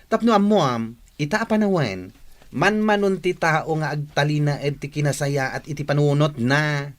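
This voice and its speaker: A woman's voice: low-pitched, normal speed, close to an everyday voice. Actress as blunt young Ilocano female friend. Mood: scolding. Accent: Filipino, Ilocano. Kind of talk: Ilocano radio drama acting.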